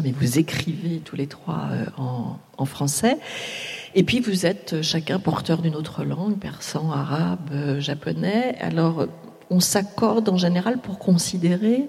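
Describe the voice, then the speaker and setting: A very husky, breathy woman with a deep voice moderates a panel. She asks her questions in a measured, formal way, and a long hall reverb hangs on her words. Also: dark tone.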